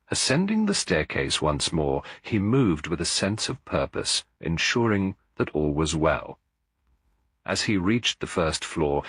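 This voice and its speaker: Guy reading a mystery audiobook, really low voice, swoops around a lot, solemn. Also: a bit bright, slightly rough, dry recording, studio quality. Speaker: audiobook narrator. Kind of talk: scripted dramatic mystery story narration.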